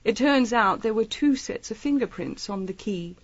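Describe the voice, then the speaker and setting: A shrewd lady sleuth in a period radio mystery. Her voice sits fairly high and swoops with lively inflection. She is airily polite and disarmingly chatty, recorded close and dry. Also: normal speed, dry recording, muffled recording. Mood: slyly probing. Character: shrewd, breezy female amateur detective, feigning innocence. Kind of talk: audio drama acting, period mystery.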